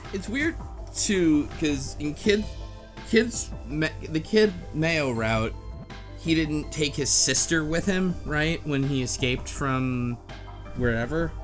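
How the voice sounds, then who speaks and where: A man co-hosting a casual book club talk about a game, halting and rambling as he thinks aloud. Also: a little high, lively intonation, faint music behind. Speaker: podcast co-host.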